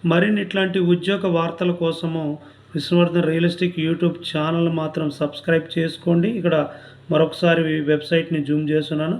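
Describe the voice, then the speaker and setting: A man's voice: fairly high pitch, flat delivery, fast, a little mellow. A man with a Telugu accent hosting an informational channel, reading out job notification details item by item in an instructional way. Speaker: YouTube channel host.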